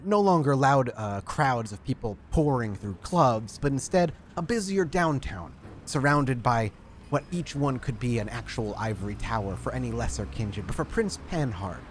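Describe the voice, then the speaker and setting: A man's voice: mid-range voice, a little hiss or hum. A man narrating as storyteller of a tabletop game, setting scenes in detail.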